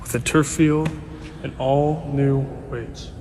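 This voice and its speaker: A man's voice: on the high side, fairly even intonation, slow pace. A man giving a walking tour, plainly announcing each room one after another. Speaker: tour guide. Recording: loud background noise, vehicle.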